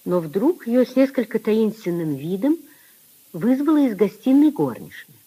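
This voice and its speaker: A woman giving expressive, deliberate literary narration for a 1950s radio drama. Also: mid-range voice, husky, poor audio.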